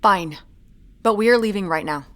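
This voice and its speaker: An actress plays a woman amateur detective in an audio drama. She is brisk and guarded, with a medium-pitched voice. Her delivery is curt, commanding and deadpan, edged with dry wit. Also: close to an everyday voice. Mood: curt, reluctant.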